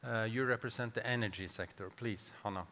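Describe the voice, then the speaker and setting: A man's voice: lowish voice, fairly quick, bright, forceful tone, a little hiss or hum. A man moderating a conference panel in a formal style.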